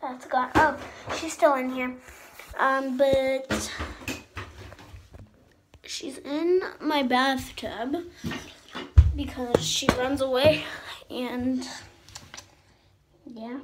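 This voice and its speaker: High-pitched young girl vlogging. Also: steady tone, very slow, pauses often. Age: child, about 10 to 12. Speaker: amateur vlogger. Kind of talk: casual home tour vlog chat. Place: inside a house, moving from room to room. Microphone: handheld phone at arm's length. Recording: noisy handheld phone recording.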